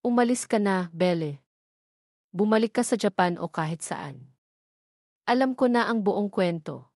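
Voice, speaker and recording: A woman's voice: medium pitch, lively intonation, measured pace, darkish tone, no room sound, clean studio sound. A woman narrator voicing a stern, embittered young man in an audio love drama, blunt and commanding. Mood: stern warning. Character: stern, embittered young man warning his former lover.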